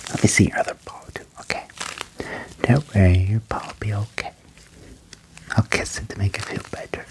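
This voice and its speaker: Young male ASMR performer, low-pitched whisper, breathy and close-miked, calming doctor-roleplay delivery with gentle reassurance. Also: low-quality recording. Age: young adult. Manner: slow, soothing, reassuring, repeating short praise. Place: small indoor room at home. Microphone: close desk microphone with furry windscreen.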